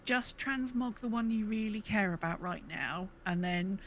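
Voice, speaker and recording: A woman's voice: mid-range voice, normal speed. Woman chatting over noticeable background noise. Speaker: podcast co-host. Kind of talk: casual gaming podcast chat.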